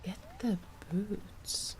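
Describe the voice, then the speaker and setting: A woman's voice: drawn-out words, darkish tone, a little hiss or hum. A woman doing casual live game commentary, musing aloud and often muttering to herself. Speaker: game streamer.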